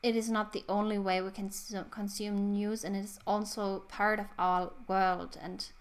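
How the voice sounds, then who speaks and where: A woman's voice: medium pitch, flat delivery, normal speed. A young woman, thoughtfully hosting a casual book review. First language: German. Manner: thoughtful, reflective. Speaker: book channel host.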